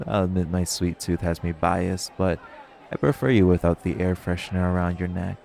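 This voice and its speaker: A man's voice: low voice, little pitch movement, normal speed, gravelly, a little mellow, a little hiss or hum. A man playing a teasing, flirtatious and menacing male vampire in an intimate audio roleplay, smug and sardonic.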